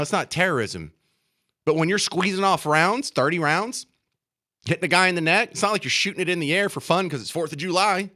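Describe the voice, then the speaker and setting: A man's voice: high-pitched, sharp tone. A man with an American accent delivering an opinionated political radio monologue, emphatic and indignant, repeating phrases to drive them home. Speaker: radio talk show host. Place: radio studio.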